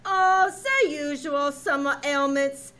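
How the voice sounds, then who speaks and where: Woman acting a bright, slow-talking, blunt folksy doctor. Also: sits high, heavily acted. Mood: blunt, pleased. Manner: blunt, unhurried. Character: brisk, matter-of-fact, folksy animal doctor. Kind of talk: old-time radio comedy acting. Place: small-town theater stage, live performance. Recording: live stage recording with noise.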